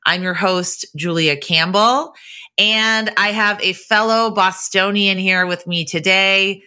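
A woman's voice: medium pitch, steady tone, slow, pushed and bright, clear, resonant tone. An American woman, middle-aged, hosting a podcast in an upbeat, articulate and encouraging way. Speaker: podcast host.

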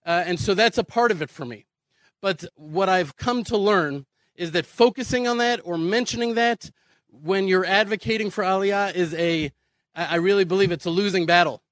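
A man's voice: sits high, moderate pace, a bit bright, no echo, rough recording. An American man from Houston giving an impassioned conference speech, earnest and emphatic, repeating phrases and posing rhetorical questions. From Houston, Texas, United States. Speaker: speaker advocating immigration to Israel.

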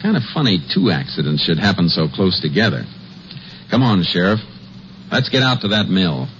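An actor playing a steady, suspicious Texas Ranger investigator in a 1950s western radio drama, speaking in a clipped, businesslike, authoritative way. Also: male voice, low voice, normal speed. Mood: pensive, resolute. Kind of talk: 1950s western radio drama acting with first-person narration. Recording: dull old radio recording with music.

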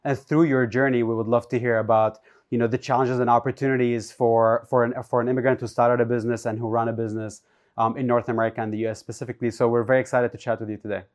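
Fast, filler-heavy interview host. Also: medium pitch, flat delivery, a little mellow. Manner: hesitant, many fillers like "like" and "you know". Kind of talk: casual interview over video call.

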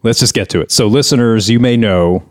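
A man's voice: mid-range voice, brisk. A man hosting a podcast in casual comedic banter, sounding grumbling and exasperated. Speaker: podcast host.

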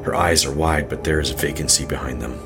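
A man narrating a scripted horror story in a measured, suspenseful manner. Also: extremely low pitch, steady tone, music in the background.

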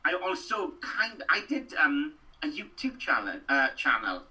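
A man's voice: fairly high pitch, wildly swooping pitch, a little slow, pushed and bright, recorded from a distance, faint music behind, poor audio. A middle-aged Welsh man from Wales, an actor chatting animatedly in an interview and rambling through stories with lots of restarts. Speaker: actor and guest.

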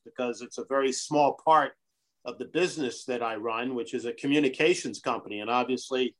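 A middle-aged man from Brazil, a guest on a podcast, answering in an earnest, measured way. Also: medium pitch, a bit bright. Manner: earnest, measured, speaks of his convictions. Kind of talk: conversational interview.

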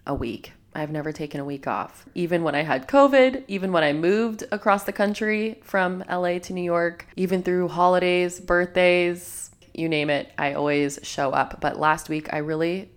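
Young female podcast host, a little congested from a cold, earnest and reflective, lower register. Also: normal speed, few pauses. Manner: earnest, self-help tone. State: congested, slightly nasal from a cold. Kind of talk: reflective solo podcast talk.

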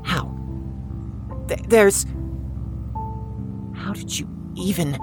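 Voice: mid-range voice, drawn-out words, over music. An actor playing an anxious, proud fifteen-year-old in an audio drama, speaking formally and precisely and stammering when flustered. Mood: panicked.

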